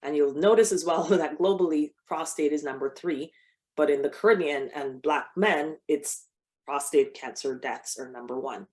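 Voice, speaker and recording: A middle-aged woman with a notably low voice talks to an audience about her work. She speaks conversationally, restarting phrases and filling pauses as she goes. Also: darkish tone. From Barbados. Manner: conversational, with frequent restarts and fillers. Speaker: cancer biologist giving a talk. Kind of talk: personal talk to an audience.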